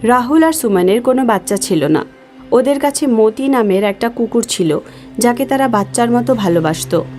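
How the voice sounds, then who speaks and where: A woman's voice: on the high side, lively intonation, moderate pace, darkish tone, faint music behind. A woman reading scripted story narration as the narrator.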